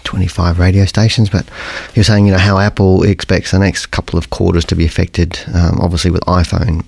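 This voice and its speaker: A middle-aged man with a croaky voice hosting a podcast, explaining things in a rambling, conversational way and often correcting himself. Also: low voice, flat delivery, brisk, a little mellow, dry recording. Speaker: podcast host. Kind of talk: casual educational podcast monologue.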